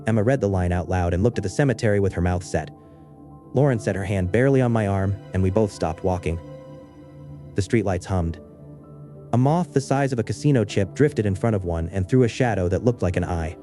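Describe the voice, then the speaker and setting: Male narrator, lowish pitch, dark soft tone, measured horror storytelling, literary and understated, faint music bed. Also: animated. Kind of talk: scripted first-person horror story narration.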